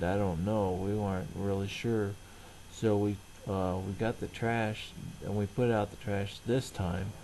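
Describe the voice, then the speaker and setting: A middle-aged man casually narrating everyday tasks in a halting, rambling, plain-spoken way. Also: fairly low pitch, dark tone, slight background noise, low-quality recording. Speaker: vlogger.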